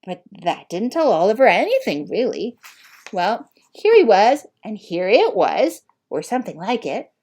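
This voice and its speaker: A woman reading an audiobook in an expressive storybook style, with deliberate, dramatic phrasing and emphasis. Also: medium pitch. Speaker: audiobook reader.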